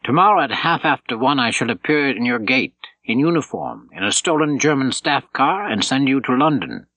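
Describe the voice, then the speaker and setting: A bold commando leader, acted by a male narrator gives clipped, urgent orders in an audiobook. The voice is husky and forceful, kept terse. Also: medium pitch, sing-song, moderate pace, dry recording, lo-fi sound, voice pushed up, full character voice. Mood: resolute. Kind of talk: audiobook character voice.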